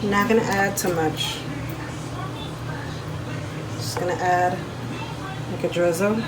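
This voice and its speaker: A woman's voice: fairly low pitch, steady tone, takes it slowly. A woman chatting informally and directly to her viewers as she films a home cooking video. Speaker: home cook vlogger. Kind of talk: casual chat to viewers while cooking. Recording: clearly noisy home video recording.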